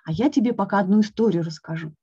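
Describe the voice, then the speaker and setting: A woman voicing an excitable, cheerful male frog in a storybook reading, coaxing his friend and exclaiming often. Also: mid-range voice, fast talker, breathy edge. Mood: soothing. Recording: dull lo-fi recording.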